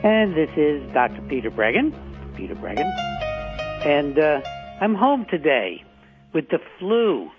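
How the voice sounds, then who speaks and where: An older man hosting a radio show from home, hoarse from the flu, rambling with fillers and restarts. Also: fairly high pitch, very animated, measured pace, pauses often, over music. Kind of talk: casual talk radio monologue. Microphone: home setup, not his usual studio mic. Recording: tinny phone-like line.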